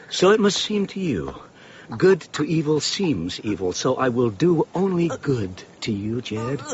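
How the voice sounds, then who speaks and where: An actor playing a brave, grimly determined middle-aged father in a radio drama, speaking with deliberate emphasis. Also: fairly high pitch, exaggerated voice. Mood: calm, menacing tenderness. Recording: muffled radio recording with background noise.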